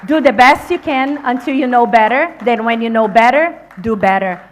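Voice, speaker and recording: A woman's voice: on the high side, normal speed, a little hiss or hum. A woman who is not a native English speaker, giving an encouraging motivational talk to a conference audience. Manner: energetic, encouraging, addresses audience directly. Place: conference venue.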